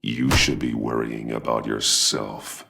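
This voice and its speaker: Extremely deep, growling masked vigilante. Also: male voice, little pitch movement, takes it slowly, exaggerated voice. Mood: cold threat. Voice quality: very gravelly, hushed growl. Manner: terse, stern. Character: brooding, commanding masked vigilante hero. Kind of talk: dramatic game voice acting.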